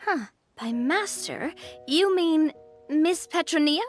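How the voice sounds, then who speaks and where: A woman playing a well-bred young lady in a video game, expressive, polite and inquisitive. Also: high-pitched, takes it slowly, faint music behind. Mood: curious, animated.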